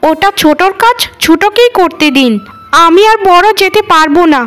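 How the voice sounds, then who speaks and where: Woman doing spoiled young cartoon wife, super high voice, whiny, haughty, scheming. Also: lively intonation, normal speed, fairly bright tone. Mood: insolent, defiant. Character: spoiled, scheming middle daughter-in-law, young insolent wife. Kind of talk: Bengali cartoon voice acting.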